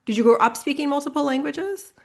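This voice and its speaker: A woman's voice: high voice, fast, creaky, darkish tone. A woman hosting a podcast, asking questions and giving encouraging responses. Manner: encouraging, frequent backchannels. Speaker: podcast host. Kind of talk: interview questions and listening.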